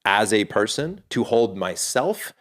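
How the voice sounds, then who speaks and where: Middle-aged male guest, very low voice, earnest, thinks aloud with restarts. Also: sing-song, unhurried, a bit bright. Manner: earnest and reflective, with frequent restarts. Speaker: podcast guest, fatherhood community founder. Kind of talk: casual interview talk.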